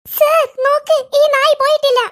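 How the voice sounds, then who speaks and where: A woman voicing an earnest young male school helper in a cartoon, shrill and eager. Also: little pitch movement, normal speed. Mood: insistent.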